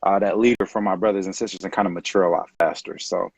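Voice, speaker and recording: A man in his late twenties from California, talking casually as an interview guest on a video call, relaxed and rambling with many fillers. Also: sits low, fast talker, gravelly, breathy edge, no room sound. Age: late 20s. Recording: dull dry video-call audio.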